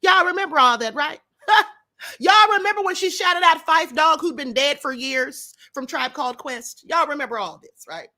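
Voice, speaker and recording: A woman's voice: high-pitched, lively intonation, normal speed, sharp tone. A middle-aged woman hosting her own livestream, giving opinionated commentary and firing rhetorical questions at her audience. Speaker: livestream show host. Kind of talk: opinionated commentary to a live chat.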